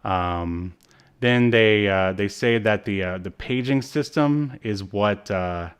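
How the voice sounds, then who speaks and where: A man's voice: on the low side, slow pace, clear, resonant tone. A man co-hosting a podcast, casually recapping in a hesitant, rambling way. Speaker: podcast co-host. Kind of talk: casual chat recapping a TV episode.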